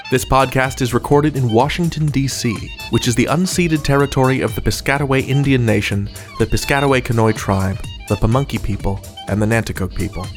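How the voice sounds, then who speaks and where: Guy hosting a podcast, medium pitch, playful wry chatty delivery, bit theatrical, soft music behind. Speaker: podcast host. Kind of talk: chatty podcast outro narration.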